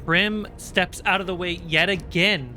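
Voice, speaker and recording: A game master with a bright, forward voice at a middling pitch narrates a tabletop roleplaying game. The delivery moves between describing action and making casual rulings, with music playing behind it. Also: a little slow. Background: background music.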